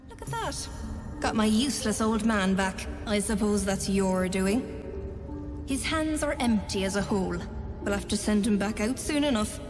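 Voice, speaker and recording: A blunt, scornful old woman , played by an actress, grumbles in a mid-pitched game-character voice. She is sardonic and shrewd, heard in long reverb over music. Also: moderate pace, close to an everyday voice. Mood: scornful, brisk. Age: older. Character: bitter, sardonic old wife, shrewd trader. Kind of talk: video game voice acting.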